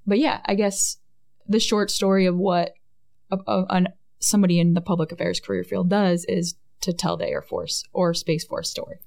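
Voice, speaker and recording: A woman's voice: lowish voice, expressive, moderate pace, gravelly, darkish tone. A woman in her thirties with a Midwestern American accent, chatting casually as an interview guest, often adding "right?" and "like". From Michigan. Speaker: guest, Air Force public affairs NCO.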